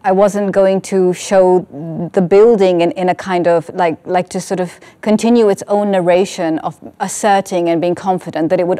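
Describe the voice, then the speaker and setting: A woman speaking as a writer and filmmaker in a reflective artist talk, searching thoughtfully and haltingly for her words. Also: fairly low pitch, mellow. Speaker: writer and essay filmmaker on a panel.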